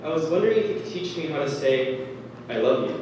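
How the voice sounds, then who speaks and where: A young man delivers a spoken word piece under a single spotlight, his slightly raised voice measured and paced with dramatic pauses. The sound rings through a large reverberant hall, picked up from a distance with a faint hiss underneath. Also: a little high, lively intonation, a little mellow. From United States. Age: young adult.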